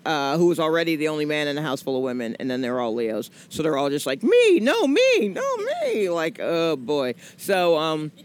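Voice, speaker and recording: A woman's voice: sits low, wildly swooping pitch, moderate pace, hardly any pauses. A woman in her forties from the American South, a comedian chatting in an interview, rambling and joking with lots of fillers. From Atlanta, US South. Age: 40s. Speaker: comedian guest. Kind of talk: casual interview chat.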